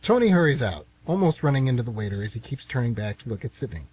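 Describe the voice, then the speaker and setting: Casting: man to narrate stage plays for radio, rough-edged tone, very varied pitch. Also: sing-song, quick pace, a little mellow, poor audio. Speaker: narrator and announcer. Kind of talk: scripted radio theatre narration.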